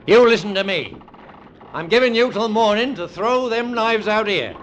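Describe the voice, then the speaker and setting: An actor playing a coarse, crafty first mate, menacing and blunt, with a working-class British accent, in old radio style. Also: male voice, high-pitched, normal speed, a bit bright, dull audio. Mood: furious, threatening. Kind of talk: old-time radio acting. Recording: noisy vintage radio drama recording.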